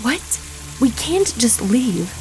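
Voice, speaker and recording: An actress playing a wary, secretive, capable woman in a sci-fi horror audio drama, breathy and often close to a whisper. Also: on the high side, big pitch swings, slow, music in the background, heavily acted, rough recording. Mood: alarmed.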